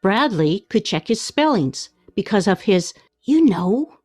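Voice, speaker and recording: Actress as an earnest woman, lively intonation. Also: medium pitch, measured pace, no room sound, studio quality. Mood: correcting. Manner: earnest, gently correcting. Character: earnest, conscientious, mildly guilty woman. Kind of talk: radio play acting.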